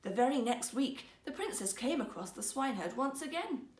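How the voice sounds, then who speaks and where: Woman storyteller, fairytale reading, expressive, high voice, roomy echo. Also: a little fast. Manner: theatrical, expressive. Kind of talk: animated fairytale reading for children. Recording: echoey room.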